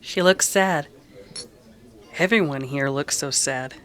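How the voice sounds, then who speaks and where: An actor voicing a gentle, concerned young woman in a radio western, with a soft, plaintive manner. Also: mid-range voice, slow. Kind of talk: old-time radio western acting.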